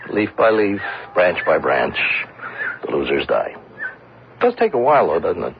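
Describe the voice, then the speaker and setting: Ruined businessman, low wide-swinging voice, wistful, self-mocking, halting grandiloquence, vintage radio drama, muffled recording. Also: big pitch swings, noisy background, heavily acted. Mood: resigned. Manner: halting, musing, given to grandiloquent literary phrasing. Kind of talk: old-time radio drama acting.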